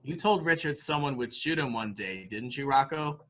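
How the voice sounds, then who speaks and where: A man playing an excitable, guileless fellow who speaks with childlike eagerness in a murder mystery reading. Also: mid-range voice, moderate pace, close to an everyday voice. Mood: excited. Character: excitable, guileless male household member blurting things out.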